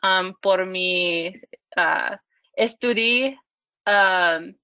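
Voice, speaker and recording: Michigan woman, english native, slow halting spanish, dry dull sound. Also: lowish voice, clear, resonant tone, no echo. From Michigan, USA. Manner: halting learner's Spanish, restarts and fillers. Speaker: podcast guest.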